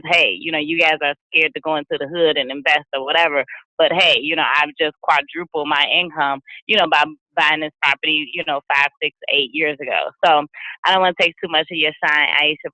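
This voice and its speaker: A woman hosts an online interview with a bright, forceful voice. She is lively and slangy, laughing easily between her words. The sound is dry and close. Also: low-pitched, fairly quick, no echo, rough recording. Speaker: host of a virtual summit. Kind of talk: interview hosting. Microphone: video call.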